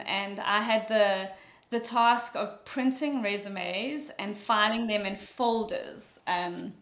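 South african accent lady telling her story on a podcast, easy pace, lots of ums, roomy echo. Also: mid-range voice. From Mauritius. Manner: relaxed storytelling, frequent ums. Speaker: podcast guest, recruiter. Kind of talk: conversational interview answer. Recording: echoey room.

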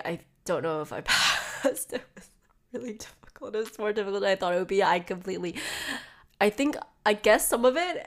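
Nasal-sounding woman chatting on a podcast. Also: lowish voice. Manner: chatty, rambling with frequent "like" and restarts. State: blocked nose, a bit nasal. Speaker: podcast host. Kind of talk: casual solo podcast talk.

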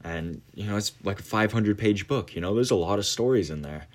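Very deep-voiced young man, rambling. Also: animated, a little fast. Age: late teens. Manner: rambling, many fillers and restarts. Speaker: podcast host. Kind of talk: casual monologue.

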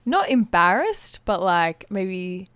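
A woman with an Australian accent co-hosting a podcast in casual, comedic chat, giggly and expressive in her reactions. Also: medium pitch, takes it slowly, smooth, no room sound. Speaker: podcast co-host.

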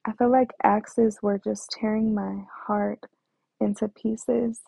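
A woman's voice: medium pitch, little pitch movement, slow pace, creaky, dark tone, husky, dry recording. A woman telling her own life story in a hesitant way, with many fillers and restarts. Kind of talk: personal storytelling. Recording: tinny phone-like dry sound.

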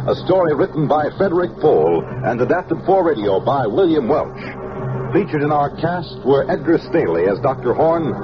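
A man announcing scripted credits in a 1950s radio style. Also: fairly high pitch. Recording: dull old radio broadcast. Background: music.

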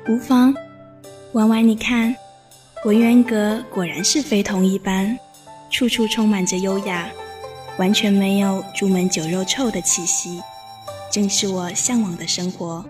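A woman playing a gentle, bookish young noblewoman in a Chinese period audio drama, poised, with literary phrasing. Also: medium pitch, steady tone, unhurried, close to an everyday voice. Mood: admiring. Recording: music behind voice.

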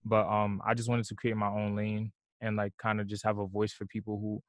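A young man from New York talks about his music in a relaxed, unhurried way, leaning on fillers like "like" and "you know". His voice sits low and stays fairly flat. The sound is dry and close, with no room echo. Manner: laid-back, many fillers like "like" and "you know". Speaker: musician guest. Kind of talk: casual interview conversation.

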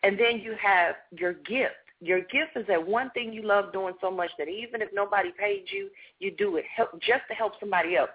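A woman's voice: medium pitch, fairly quick, hardly any pauses, slightly rough. A woman guest giving motivational, preachy advice about purpose in a radio interview. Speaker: guest, natural hair business owner. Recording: tinny phone line.

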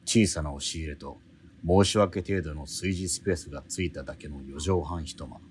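A man's voice: rumbling low, normal speed, darkish tone, a little hiss or hum. An actor playing a poor, struggling male painter in a radio drama, narrating his days and muttering wry, self-mocking asides to himself. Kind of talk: radio drama inner monologue.

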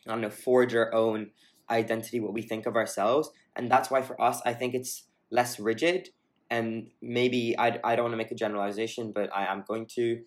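A man's voice: mid-range voice, little pitch movement, moderate pace. A man co-hosting a casual discussion podcast, talking reflectively and hedging, with frequent restarts mid-sentence. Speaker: podcast co-host.

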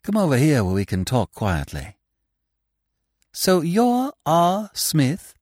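A man acts an elegant but jittery young man from a comic storybook. He sounds tense and conspiratorial, his pitch jumping about, in a dry recording. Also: huge pitch swings, slow, a little mellow, no room sound, exaggerated voice. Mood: tense, secretive. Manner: tense, secretive.

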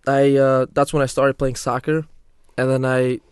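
A man's voice: mid-range voice, little pitch movement, moderate pace, darkish tone, smooth, no room sound, clean studio sound. A young man telling his story in a casual podcast chat, earnest and full of restarts. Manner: earnest, many restarts and "literally". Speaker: guest.

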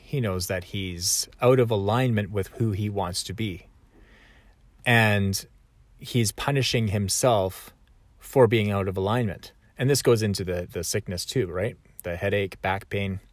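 A man's voice: lowish voice, normal speed, dry recording. A man talking reflectively in a conversational, self-correcting way. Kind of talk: reflective solo talk.

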